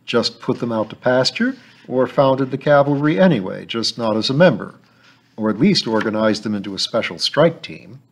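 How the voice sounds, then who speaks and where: A man voicing a cheerful, quick-witted adult male agent, slightly brighter than his narrating voice. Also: mid-range voice, expressive. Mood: breezy. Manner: cheerful, breezy. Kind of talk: audiobook character voice.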